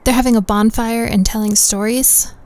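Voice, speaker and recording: High-voiced, chatty teen prep school girl, acted by a woman. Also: steady tone, normal speed, darkish tone, lightly acted.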